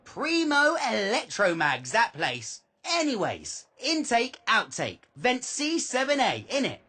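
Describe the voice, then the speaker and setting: Husky, slangy British vent thief. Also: female voice, fairly high pitch, huge pitch swings, slow pace, sharp tone, full character voice. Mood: cheeky, cocky. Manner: slangy, drawling, clipped phrases. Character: streetwise young vent-crawling thief, slangy, cheeky, playful. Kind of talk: video game character acting.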